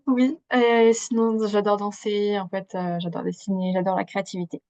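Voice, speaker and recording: A woman's voice: mid-range voice, little pitch movement, moderate pace, a little mellow, no room sound, lo-fi sound. A young woman born in Switzerland, a coach guesting on a podcast, talking casually with lots of fillers. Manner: chatty, many fillers, laughs easily. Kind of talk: casual conversational interview.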